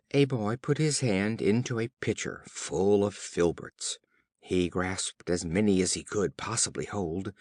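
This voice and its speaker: A low-voiced man reads scripted children's fables as an audiobook narrator. His telling is slow and formal, with pitch that rises and falls freely. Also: no room sound, studio quality. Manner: measured, formal storytelling.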